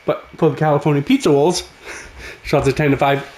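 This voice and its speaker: A man, raspy from a cold, giving casual play-by-play commentary over a hockey video game. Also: fairly high pitch, moderate pace, echoey room. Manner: excitable, calls the action as it happens. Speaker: gaming channel host.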